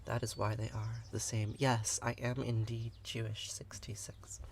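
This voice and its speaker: A man hosting a live-streamed lesson, explaining scripture in an earnest, chatty way and stopping to answer viewers with fillers and quick laughs. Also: fairly low pitch, little pitch movement, vocal fry, slight background noise. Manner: explanatory, with frequent fillers and restarts. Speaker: livestream host teaching scripture. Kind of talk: live-streamed lesson mixed with chat replies.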